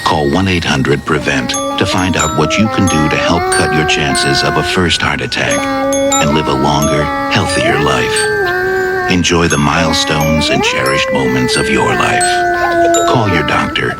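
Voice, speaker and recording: A man narrates a scripted health advertisement in a very deep voice. His pitch swings widely, and loud music sits behind him. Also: wildly swooping pitch, measured pace. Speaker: health ad announcer.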